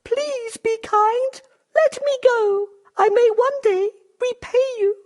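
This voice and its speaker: A performer voicing a tiny, timid female mouse who speaks in a quick, squeaky, pleading way.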